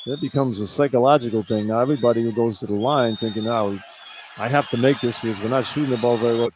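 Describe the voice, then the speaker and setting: A man's voice: medium pitch, quick pace, a little mellow, slight background noise. A man giving informal, opinionated color commentary on a live basketball radio broadcast. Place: indoor college basketball arena.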